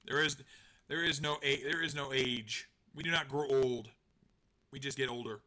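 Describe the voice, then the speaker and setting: A man's voice: mid-range voice, moderate pace, bright, forceful tone. A man hosting a live stream, chatting casually and rambling, joking at his own expense, laughing and swearing now and then. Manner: rambling, self-deprecating, laughs often, swears. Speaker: online show host.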